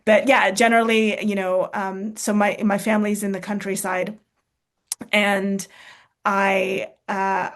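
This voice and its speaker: A woman's voice: medium pitch, little pitch movement, unhurried, fairly bright tone. An American-born woman, author and speaker, answering questions in a casual talk, thoughtful, with many fillers, restarts and tag questions. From United States.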